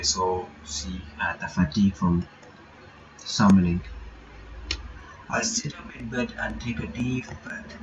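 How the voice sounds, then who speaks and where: A man reading a visual novel aloud in a halting way, stopping for casual asides to his viewers. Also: fairly low pitch, noisy background. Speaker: reaction channel host. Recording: dull lo-fi audio with music behind.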